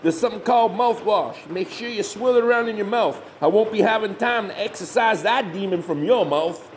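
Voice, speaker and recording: Man doing a high, cocky Southern backwoods monster hunter. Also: a little fast, slight background noise, lightly acted. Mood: mocking. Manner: sarcastic, folksy, bossy. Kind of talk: comedy horror audio drama acting.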